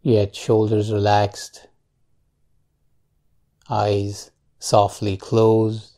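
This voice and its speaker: A man leading a guided meditation in a calm, measured way, leaving long pauses between short phrases. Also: on the low side.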